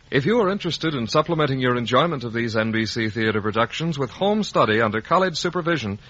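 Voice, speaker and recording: A man's voice: medium pitch, a bit bright. A man announcing a 1950 network radio program in a formal, polished manner. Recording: muffled vintage radio broadcast.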